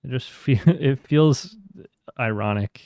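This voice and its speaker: Smooth-voiced man gushing about music. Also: medium pitch, takes it slowly, darkish tone, no room sound, studio quality. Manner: enthusiastic, swearing, laughing often. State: losing his voice. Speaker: podcast co-host. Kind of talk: casual music review chat.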